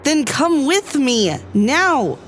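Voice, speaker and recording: An actress plays a headstrong, anxious female academic aboard a spaceship in an audio drama. Her voice sits fairly high and she takes her time over the words. She is emotional, sweary and quick to argue, with faint music underneath. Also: sing-song, full character voice. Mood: urgent.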